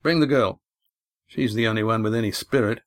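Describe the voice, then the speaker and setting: A man voicing a sardonic, cruel ship's master who gives orders in a slow, sneering, commanding way. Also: on the low side, no echo, studio quality, close to an everyday voice.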